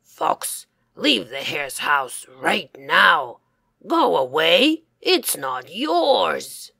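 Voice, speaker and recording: Lady doing a big bold storybook bear, loud n emphatic, super slow. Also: very animated, creaky, breathy edge, no echo, exaggerated voice. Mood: commanding, loud. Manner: booming, drawn-out, emphatic.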